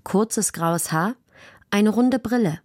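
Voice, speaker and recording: A woman's voice: medium pitch, takes it slowly, darkish tone, dry recording, studio quality. A woman reading scripted narration for a radio documentary. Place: dry radio studio.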